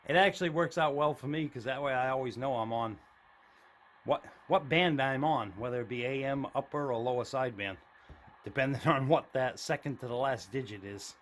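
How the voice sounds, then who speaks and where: A man's voice: medium pitch, moderate pace, fairly bright tone, a little hiss or hum. A middle-aged man narrating a casual, unscripted tour in a plainspoken, explanatory way. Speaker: hobbyist video host. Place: home room with radio gear, indoors.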